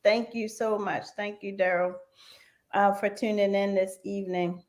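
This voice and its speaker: A woman's voice: mid-range voice, fairly even intonation, normal speed. A woman hosting a conversational radio interview show.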